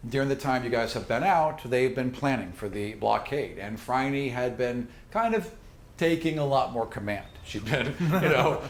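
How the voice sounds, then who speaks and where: A man's voice: mid-range voice, moderate pace. Man narrating a game, echoey room. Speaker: game master. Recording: echoey room with background noise.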